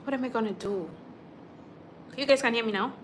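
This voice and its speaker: A woman's voice: on the high side, brisk, slight background noise. A young woman from Kenya, chatting casually on a livestream and answering viewer comments as they come. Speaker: YouTube vlogger on a livestream.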